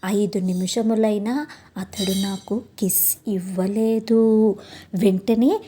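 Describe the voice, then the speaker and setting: A woman's voice: mid-range voice, slow, slight background noise. A woman telling a romantic story in the first person, expressively and speaking straight to listeners. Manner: expressive, addresses listeners directly, acts out dialogue. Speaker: storyteller, channel host.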